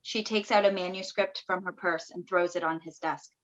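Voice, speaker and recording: A woman's voice: lowish voice, fairly even intonation, moderate pace, a bit bright, muffled recording. A woman reading stage directions as scripted narration for a staged play reading over a video call.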